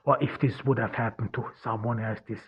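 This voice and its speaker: A man whose first language is German, talking through a personal monologue as a podcast host in a halting, earnest way, restarting and searching for words. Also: mid-range voice, steady tone, slightly rough. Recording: dull lo-fi recording.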